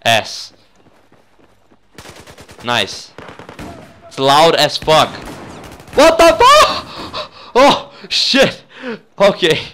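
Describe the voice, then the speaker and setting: A young man from Brazil with a Brazilian accent, a native Portuguese speaker, giving excitable, sweary, casual commentary as host of a gaming video. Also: fairly high pitch, drawn-out words, pauses often, slight background noise.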